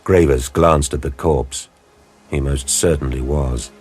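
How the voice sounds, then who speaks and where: A man narrating an audio drama in a deep, husky voice with grave, deliberate storytelling. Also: steady tone, a little mellow, faint music behind.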